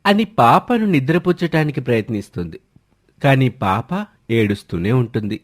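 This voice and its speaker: A man's voice: mid-range voice, expressive, moderate pace. A man narrating a scripted family story as the storyteller.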